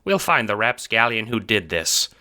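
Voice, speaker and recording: A man plays a little shelled creature who serves as mayor in an audio drama. The voice is lifted well up and bright, with an earnest, grandiose, emphatic delivery. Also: lowish voice, very animated, moderate pace, pitched above the performer's own voice, heavily acted. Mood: indignant sympathy. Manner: grandiose, old-fashioned wording, emphatic. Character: short, earnest, pompous male insect-shelled mayor. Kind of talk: audio drama character acting.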